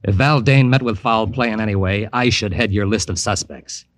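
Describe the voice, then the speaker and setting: An actor playing a smug, bitter literary ghost writer with an arch, pompous manner, in vintage radio drama style. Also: male voice, on the low side, moderate pace, lightly acted. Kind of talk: 1950s radio drama acting. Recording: noisy, clicky vintage radio recording.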